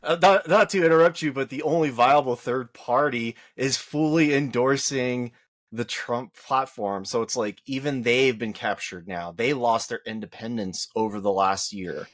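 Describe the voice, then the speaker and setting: A man's voice: normal speed, dry recording, studio quality. A man co-hosting a podcast, talking casually about politics with a slightly whiny voice, firing off rhetorical questions as he argues. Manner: animated, rising to high rhetorical questions when arguing. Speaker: podcast co-host.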